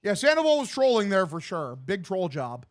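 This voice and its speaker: A young man in his twenties with a distinctly high voice for a male. He streams baseball commentary mixed with casual chat, in loose, slangy language with plenty of swearing and a bro-like way of addressing people. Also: big pitch swings, normal speed. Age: 20s. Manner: profane, slangy, bro-like talk. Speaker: sports streamer calling a baseball game and answering chat.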